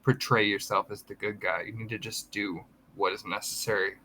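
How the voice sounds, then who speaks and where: A man's voice: fairly low pitch, fairly even intonation, fairly quick, sharp tone, slight background noise. A man in casual podcast chat, explaining politics at length and correcting himself as he goes. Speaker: podcast co-host.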